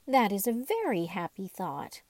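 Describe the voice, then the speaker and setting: A woman's voice: mid-range voice, big pitch swings, measured pace, no echo. A woman reading the part of a cheerful, helpful boy rag doll who explains things earnestly in a storybook.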